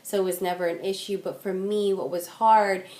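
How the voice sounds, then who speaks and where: A woman's voice: medium pitch, fairly even intonation, normal speed, darkish tone. Casual young woman in a roomy room. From Philippines. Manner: casual, chatty, with fillers like "um" and "like". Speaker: interviewee. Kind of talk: oral history interview. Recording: roomy echo.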